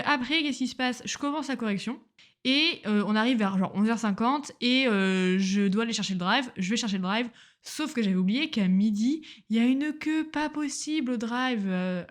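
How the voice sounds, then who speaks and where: A woman's voice: on the high side, moderate pace. A young woman, a medical student, talks loosely into a home microphone about her day, rambling, joking about herself and using lots of slang. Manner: chatty, rambling, self-mocking, full of slang. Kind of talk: casual diary-style chat. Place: at home on a couch.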